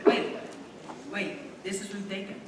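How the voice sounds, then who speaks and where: A man's voice: high-pitched, unhurried. A male actor playing an earnest young newlywed brother in a staged radio play. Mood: cheerful. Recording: echoey hall from far off, faint noise.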